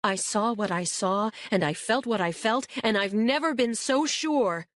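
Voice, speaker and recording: A woman voicing a grieving adult daughter who speaks in a steady, earnest way. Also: medium pitch, a little slow, dry recording, clean studio sound, voice pushed up, lightly acted.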